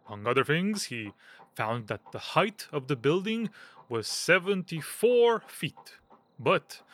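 Man acting a fussy, swooping gentleman hypochondriac. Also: a little high. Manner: halting, hesitant, formal and fussy with throat-clearing. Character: fussy, frail, self-important English gentleman hypochondriac. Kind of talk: audio drama acting.

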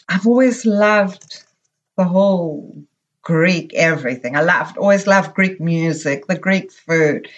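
A middle-aged woman from Zimbabwe talks as a podcast guest, her voice at an ordinary middle pitch. She tells her stories at an easy, wandering pace, filling the gaps with ums and uhs. She sounds thoughtful and unhurried. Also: lo-fi sound. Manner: reflective, meandering storytelling with many fillers. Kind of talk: casual interview conversation.

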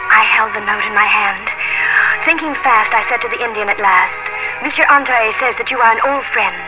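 Young colonial heroine played by an actress, headstrong and earnest, theatrical vintage radio delivery, muffled sound with music behind. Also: female voice, fairly high pitch, normal speed, close to an everyday voice. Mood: earnest, wistful. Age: young adult. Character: headstrong, emotional young colonial heiress telling her story. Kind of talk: 1950s radio drama acting and narration.

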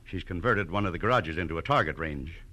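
An irritable, rich guardian in a 1940s radio play. His voice is fairly low and hard-edged, swinging animatedly as he lays down the law with brusque authority. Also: expressive, fast talker, bright, forceful tone, exaggerated voice. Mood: brusque, worried. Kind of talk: 1940s radio drama acting.

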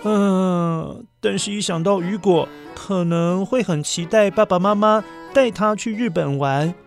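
A man narrating a children's story in a gentle voice, speaking directly to young listeners. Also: high voice, unhurried, creaky, faint music behind.

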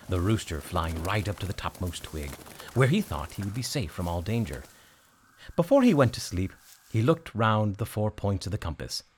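A man narrating a scripted storybook tale as storyteller, in an expressive, measured way. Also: mid-range voice, slight background noise.

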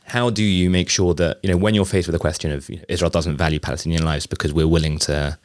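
A podcast host with a low, deep voice asks his guest long, winding questions. He halts often and circles back mid-sentence, and the close mic sounds dry and dead. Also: fairly even intonation, no echo. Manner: halting, many fillers and restarts. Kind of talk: interview questions.

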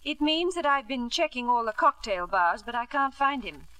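A middle-aged wife in a vintage radio play. Her voice is fairly high and sharply bright. She is proud and coolly bitter, with a crisp, dignified, reproachful delivery. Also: moderate pace, rough recording. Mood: cool, guarded. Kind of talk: 1950s radio drama acting. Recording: vintage 1950s radio broadcast.

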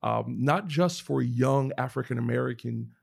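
Very creaky-voiced man in conversation. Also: medium pitch, normal speed. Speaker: podcast participant. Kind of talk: conversational podcast talk.

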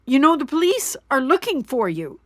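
A woman's voice: high-pitched, very animated, normal speed, no room sound, studio quality. A middle-aged woman playing an anxious, apologetic mother who rambles and frets in a naturalistic audio drama. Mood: apologetic, rambling. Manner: rambling, fretful, self-blaming.

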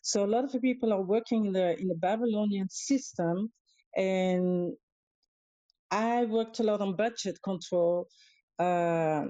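A woman with a Dutch accent, Dutch her first language, calling in as a guest and speaking haltingly with many fillers and restarts. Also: mid-range voice, dark tone, no echo, lo-fi sound. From Europe. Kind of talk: casual talk on a live audio show. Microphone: calling in remotely.

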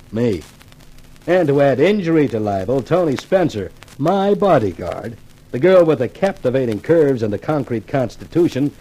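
Wry, animated newspaper columnist in trouble. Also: male voice, mid-range voice, husky, low-quality recording. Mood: wry, grim. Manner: wisecracking, sardonic. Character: wry, beleaguered male newspaper columnist in a mystery. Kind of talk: 1950s radio crime drama acting.

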